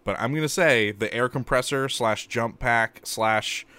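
A man's voice: medium pitch, measured pace, pushed and bright, no echo. A man co-hosting a podcast in casual chat, telling rambling everyday stories with lots of ums and likes, and he laughs at himself. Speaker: podcast co-host.

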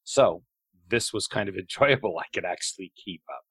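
A man hosting a podcast in a casual, conversational interview style, sometimes halting and repeating his words. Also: sits low, expressive, fairly bright tone, slightly rough, dry recording. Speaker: podcast host.

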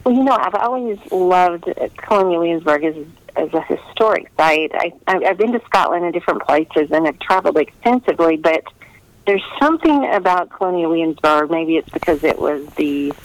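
A woman's voice: on the low side, animated, fairly quick, no room sound, poor audio. A middle-aged American woman, a novelist, speaking earnestly and reflectively in a conversational interview. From United States. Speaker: historical romance novelist being interviewed.

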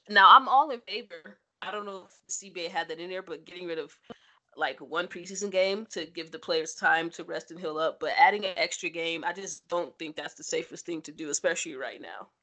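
A woman's voice: on the low side, pushed and bright. A woman co-hosting a sports podcast in casual, playful, teasing chat.

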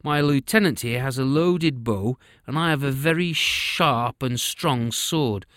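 A man's voice: medium pitch, lively intonation, unhurried, no room sound, clean studio sound, natural voice. A man voicing an arrogant, mocking male enemy captain in a storybook reading, drawing out his taunts. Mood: taunting. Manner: taunting, sneering.

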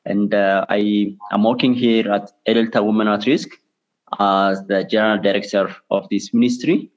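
Interview guest, male, Ethiopian accent, lowish pitch, pause-filled delivery, muffled recording. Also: steady tone. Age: 30s. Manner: halting, with frequent pauses. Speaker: interview guest, charity director. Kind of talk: personal interview answers. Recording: dull lo-fi remote call.

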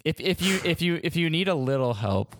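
Male podcast host, lively pitch, casual jokey banter, dry sound. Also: fairly quick, dry recording. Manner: joking, rambling banter. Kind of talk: casual chat.

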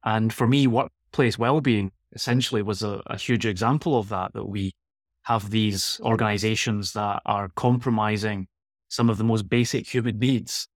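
A man's voice: lowish voice, fairly even intonation, moderate pace, no echo, clean studio sound. A Scottish man from Glasgow, a guest expert in a conversational interview, speaking thoughtfully and often restarting his phrases. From Scotland.

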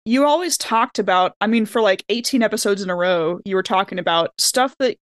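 A woman's voice: medium pitch, brisk, dry recording. A woman with a mid-range voice talks on a podcast panel in an informal, giggly way. The sound is dry, with no room to it, and the delivery is casual conversation. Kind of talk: casual chat.

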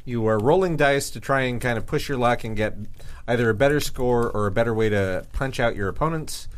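A man's voice: mid-range voice, a little fast. A man co-hosting a podcast in casual chat, easygoing as he explains the rules of a board game. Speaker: podcast co-host.

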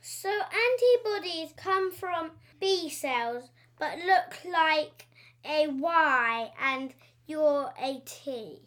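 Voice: high voice, expressive, very slow, fairly bright tone, heavily acted. A performer playing a small, curious, easily amazed red blood cell in a children's educational audio story, with an eager, questioning manner. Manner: wide-eyed, eager, questioning.

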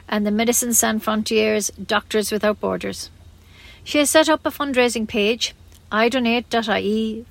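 A woman's voice: fairly high pitch, a bit bright. A woman with an Irish accent reading scripted local news as a community radio newsreader.

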